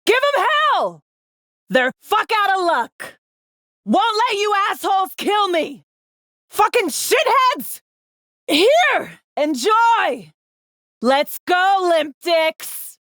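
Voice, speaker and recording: A woman's voice: very high voice, sing-song, extremely slow, fairly bright tone, no room sound, clean studio sound, heavily acted. A woman voicing a tough, sardonic, world-weary government secret agent in a video game, with a blunt, slangy, wry way of talking. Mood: combat shouting. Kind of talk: video game character dialogue.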